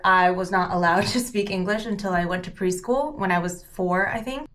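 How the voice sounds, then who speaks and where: A woman in her thirties, born in California with Cantonese as her first language, chatting casually while she does her makeup. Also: fairly low pitch. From San Francisco Bay Area, California. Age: 30s. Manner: chatty, peppered with "like", soft-spoken while doing makeup. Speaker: beauty vlogger. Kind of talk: casual get-ready-with-me chat.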